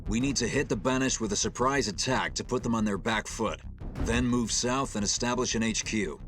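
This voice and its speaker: An actor playing a decisive, steady military captain in a video game, giving firm, businesslike orders. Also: male voice, medium pitch, moderate pace, lightly acted. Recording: music playing behind the voice.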